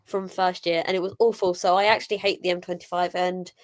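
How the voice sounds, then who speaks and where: A woman's voice: lowish voice, little pitch movement, quick pace. A young woman, a panelist telling a rambling, exasperated story. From Essex, England. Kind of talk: casual panel chat.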